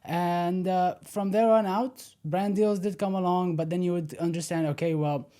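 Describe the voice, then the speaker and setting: A man's voice: high voice, steady tone, moderate pace, mellow. Confident young man explaining his story. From born in the Netherlands, raised in Egypt. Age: 20s. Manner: confident, direct, explains step by step. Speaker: podcast guest, personal branding strategist. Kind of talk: casual interview conversation.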